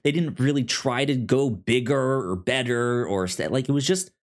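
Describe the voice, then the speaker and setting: Halting, enthusiastic male podcast co-host. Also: medium pitch. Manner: halting, repeats words, stammering starts. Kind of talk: casual movie discussion.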